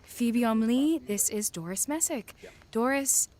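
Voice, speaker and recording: A woman playing a brisk, commanding aviator of the 1930s in an audio drama, assertive and dry. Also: a little high, animated, a little mellow. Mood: wry, sociable. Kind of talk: naturalistic period audio drama acting.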